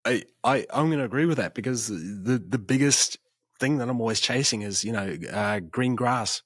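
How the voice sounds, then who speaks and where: A male podcast host whose voice is hoarse and worn down to a rasp. He chats in a friendly, loose way and often restarts his sentences. The sound is close and dry, with no room around it. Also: medium pitch, moderate pace, dry recording. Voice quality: raspy. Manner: friendly, chatty, stumbling restarts. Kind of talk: casual interview chat.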